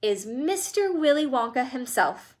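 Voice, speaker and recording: A woman's voice: sits high, sing-song, a little slow. A woman voicing a kindly, astonished elderly grandfather in a storybook reading.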